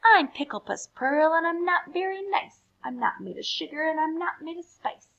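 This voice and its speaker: A woman's voice: very high-pitched, lively intonation, moderate pace, lo-fi sound, voice pushed up. A woman voicing a nasty, sour, miserable girl in a haughty, theatrical poetry reading. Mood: sour, menacing.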